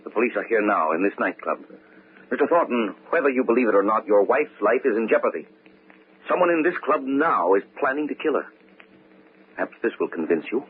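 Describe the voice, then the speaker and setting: An actor playing a composed nightclub mind reader in an old-time radio mystery, explaining in a measured, dramatic way. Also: male voice, mid-range voice, expressive, narrow, tinny audio, lightly acted. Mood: calm insistence. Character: composed mind-reading nightclub mentalist revealing a plot. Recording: thin, noisy old radio recording.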